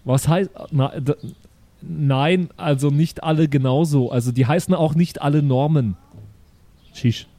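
A man's voice: fairly high pitch, measured pace, dark tone, no echo. A man voicing a naive, dim-witted, chatty son from the future, with childlike slangy talk full of verbal tics, in an improvised audio roleplay.

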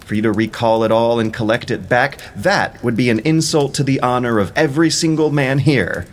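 A man's voice: mid-range voice, brisk, slight background noise, close to an everyday voice. A man playing a proud young Greek warrior in an epic audio drama, impassioned, declamatory and scornful. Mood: indignant, challenging.

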